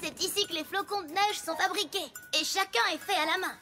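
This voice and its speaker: A woman voicing a brash, excitable young female pegasus pony with nerves underneath, in a cartoon dub. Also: very high voice, brisk, loud music behind the voice. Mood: upbeat.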